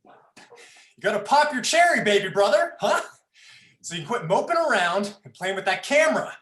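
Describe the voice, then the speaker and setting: Man playing menacing young bully, forceful bright voice, swooping delivery, taunting, foul-mouthed, roomy livestream drama. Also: sits high, very animated, moderate pace. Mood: impatient, taunting. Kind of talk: dramatized live script reading.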